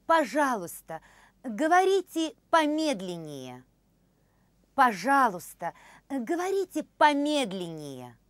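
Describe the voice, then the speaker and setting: A woman in her thirties demonstrating phrases for a language course, repeating each one slowly and carefully in a studio. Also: high-pitched, big pitch swings, a bit bright, no echo. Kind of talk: slow, clear phrase demonstration. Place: studio with orange backdrop.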